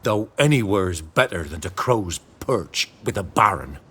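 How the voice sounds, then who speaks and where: A man playing a frank, humble, indignant peasant villager in a video game, a husky voice and hesitant rustic speech. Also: low-pitched, lively intonation, measured pace, sharp tone, heavily acted. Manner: hesitant, rustic dialect grammar.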